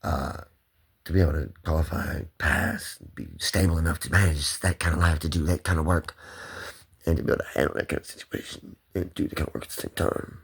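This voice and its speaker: A man's voice: rumbling low, steady tone, fairly quick, creaky, breathy edge. A man talking to the camera in a rambling, swearing monologue that jumps between topics.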